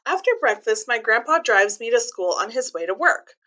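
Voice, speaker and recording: A woman with a fairly high, bright voice reads a children's book aloud in the style of a teacher. She explains things in simple, clear terms for young listeners, moving between reading and commenting. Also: lively intonation, normal speed.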